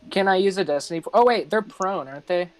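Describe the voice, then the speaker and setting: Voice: mid-range voice, fast talker. A tabletop roleplaying player chatting casually, asking questions about the rules in a hesitant way. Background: background music.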